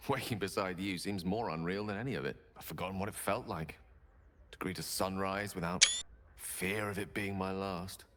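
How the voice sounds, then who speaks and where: An actor playing a tender, brilliant wizard in a video game, speaking in an eloquent, breathy, intimate and hesitant way. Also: male voice, low-pitched, fairly even intonation, normal speed, bright, forceful tone, a little hiss or hum. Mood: wistful. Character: brilliant, tender, wordy male wizard in love.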